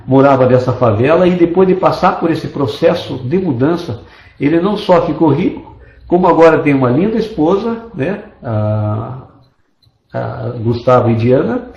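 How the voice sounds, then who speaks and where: A man's voice: fairly high pitch, expressive, moderate pace, vocal fry, a little mellow, reverberant, lo-fi sound. A man from Brazil giving an online business presentation as a network marketing presenter, persuasive and enthusiastic, stacking lists of points. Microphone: desktop computer mic.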